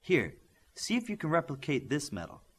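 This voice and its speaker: A man voicing a practical adult female scientist in an audiobook, with a measured, precise, businesslike manner. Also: a little high, wildly swooping pitch, breathy edge, voice pushed up, exaggerated voice. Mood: brisk.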